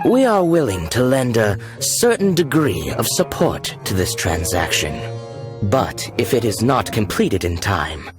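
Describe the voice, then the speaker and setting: Cold villain agent, low voice, formal menace, swooping intonation, video game dialogue. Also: male voice. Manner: formal, veiled threats. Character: cold, menacing foreign agent, adult man. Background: music.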